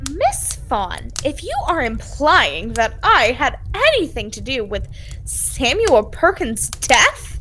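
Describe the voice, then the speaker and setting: A woman's voice: high voice, wildly swooping pitch, takes it slowly, sharp tone, slight background noise, heavily acted, rough recording. A woman playing a defensive, flustered woman suspect in an old-time radio drama, protesting indignantly. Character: defensive, indignant older sister under accusation. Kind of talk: 1940s radio drama acting.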